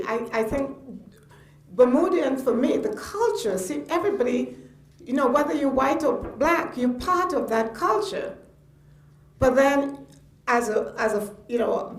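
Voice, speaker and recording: An older woman from Trinidad with a Trinidadian accent, speaking off the cuff at a public lecture in an emphatic, halting way. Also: high-pitched. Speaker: guest lecturer. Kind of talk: spontaneous public lecture commentary. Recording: echoey room.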